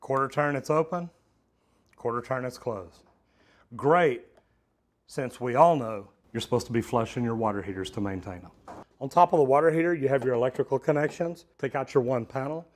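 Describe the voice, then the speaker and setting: A man's voice: medium pitch, fairly quick. A middle-aged man, a plumber, giving a hands-on instructional demonstration in a metal-walled storage unit, talking practically through a lapel mic. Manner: plain-spoken, practical, addresses viewers as "guys".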